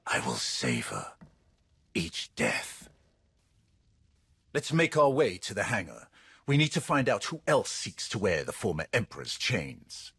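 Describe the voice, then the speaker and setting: Grim Sith lord, rasping husky voice, low, measured, scornful, video game drama. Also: male voice, wildly swooping pitch, a bit bright, no room sound, exaggerated voice. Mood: menacing.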